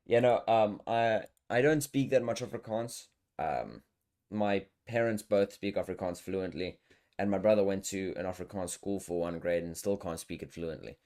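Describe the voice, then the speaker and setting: The low-pitched guy running a laid-back podcast among friends late in the evening. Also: moderate pace, a little mellow. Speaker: podcast host. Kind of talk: casual chat.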